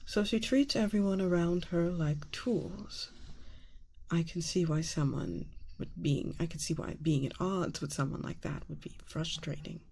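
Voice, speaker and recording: A woman playing a soft-spoken, probing therapist in an ASMR roleplay, breathy and often whispering, soothing and deliberate with pauses. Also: fairly low pitch, slight background noise. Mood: probing, gentle.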